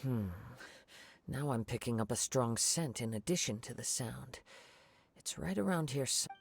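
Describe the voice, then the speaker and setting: A man voicing a calm, thoughtful young samurai in a video game, speaking softly in measured, formal phrases. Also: mid-range voice, creaky, husky, heavily acted. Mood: grave, alert. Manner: measured, contemplative, formal wording. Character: calm, thoughtful young male wandering samurai, polite and observant. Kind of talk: video game character acting.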